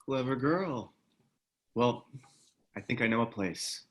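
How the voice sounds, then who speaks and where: A man playing a wary, gruff ex-government reluctant hero in a screenplay table read. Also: medium pitch, expressive, slow pace, a bit bright. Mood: wry.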